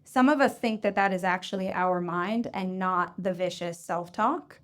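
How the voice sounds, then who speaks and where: A woman hosting a live audience Q&A, talking frankly and warmly encouraging, laughing easily. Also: lowish voice, normal speed. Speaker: podcast host answering audience questions. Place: live event venue.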